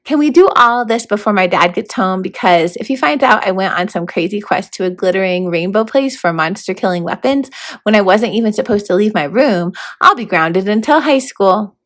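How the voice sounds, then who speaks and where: A woman's voice: mid-range voice, animated, moderate pace, close to an everyday voice. A woman voicing a plucky, wry young schoolgirl heroine with a casual, questioning manner in a storybook read-aloud. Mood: nervous, joking.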